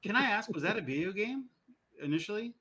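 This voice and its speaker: A man's voice: sits high, expressive, quick pace, sharp tone, slightly rough. A man hosting a podcast in a casual, chatty style. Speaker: podcast host. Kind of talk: casual chat.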